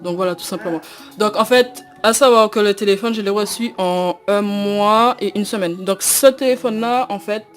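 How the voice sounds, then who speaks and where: A woman's voice: mid-range voice, moderate pace, few pauses, soft background music. A young woman from Guadeloupe, not a native French speaker, walking through a phone unboxing with many fillers and hesitations. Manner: hesitant, many fillers and repeated "tout simplement". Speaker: unboxing vlogger. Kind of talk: casual phone unboxing walkthrough.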